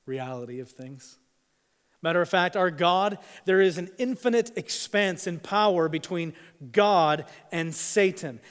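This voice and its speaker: A man who grew up in East Africa, preaching a sermon, swinging between hushed and emphatic delivery with dramatic pauses. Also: a little high, clean tone. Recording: long hall reverb.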